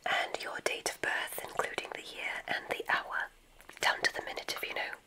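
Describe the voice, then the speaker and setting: A woman whispering in a breathy, gentle way, playing a gracious witch dean in an ASMR roleplay. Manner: gentle, drawn-out, effusively complimentary. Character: gracious, welcoming witch dean of a magic school.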